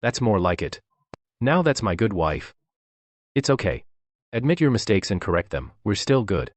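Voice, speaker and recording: A man's voice: lowish voice, sing-song, moderate pace, no room sound. A man playing a bossy, condescending, self-righteous husband and eldest son in a dubbed family radio drama. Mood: smugly commanding.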